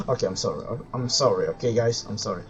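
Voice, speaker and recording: A woman's voice: very deep voice, expressive, a little fast, a little mellow. A woman live-streaming a game with casual, blunt commentary, reacting aloud and swearing freely. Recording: muffled stream mic, faint game music.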